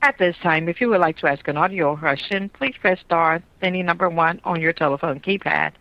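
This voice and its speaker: A woman with a slightly low voice gives scripted instructions as a conference operator. The sound is dry and somewhat muffled, as over a call line. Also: brisk, no echo. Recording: dull, dry phone conference audio.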